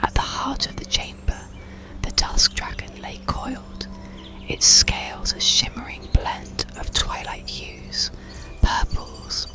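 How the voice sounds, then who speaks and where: A storyteller narrating an ASMR bedtime story in a slow, soothing, hushed whisper. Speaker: audiobook narrator.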